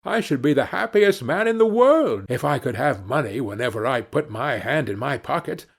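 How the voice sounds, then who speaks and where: A man's voice: medium pitch, animated, moderate pace, creaky, breathy edge. A man voicing a cheerful, naive young peasant lad in a fairy tale, earnest and full of exclamation. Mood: delighted. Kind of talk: fairy-tale storybook character reading.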